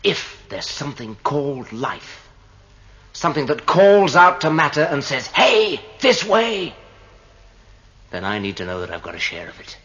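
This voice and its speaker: An actor playing a brooding, earnest male android in a radio drama, speaking deliberately. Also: a little high, big pitch swings, a bit bright. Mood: impassioned. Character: brooding male android questioning whether his feelings are real. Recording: dull noisy 1980 radio drama recording.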